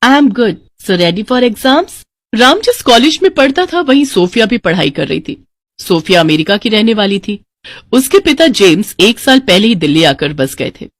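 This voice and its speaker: A woman's voice: mid-range voice, sing-song, normal speed, no room sound, studio quality. A woman with an Indian accent reading scripted narration for a moral story.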